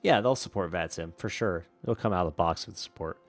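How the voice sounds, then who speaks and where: A man with a low, creaky voice speaks as an air traffic controller on a stream. He moves between standard radio calls and informal, relaxed chat. Faint music plays behind him. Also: brisk. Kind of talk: radio phraseology mixed with casual stream chat.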